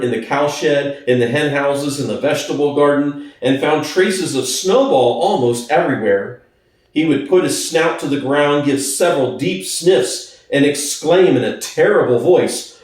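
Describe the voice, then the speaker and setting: A man's voice: a little high, a little mellow. Man narrating dramatically, very echoey room. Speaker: audiobook narrator. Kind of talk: dramatic scripted narration of a classic novel. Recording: very echoey room, distant from the mic.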